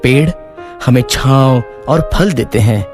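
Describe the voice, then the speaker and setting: A man's voice: medium pitch, takes it slowly. A man narrating a scripted children's moral story as its storyteller. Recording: faint music bed.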